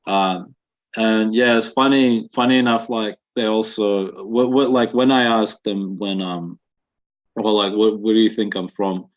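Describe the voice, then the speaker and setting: A man in his twenties from Russia, with a Russian accent softened by New Zealand, answering interview questions in a halting way with many fillers, on dull lo-fi webinar audio. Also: on the low side, steady tone. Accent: Russian with a slight New Zealand accent. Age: 20s. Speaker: guest.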